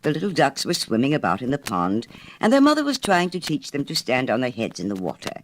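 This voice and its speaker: A man narrating a children's story from a script as an expressive storyteller. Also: mid-range voice, fast talker, slight background noise.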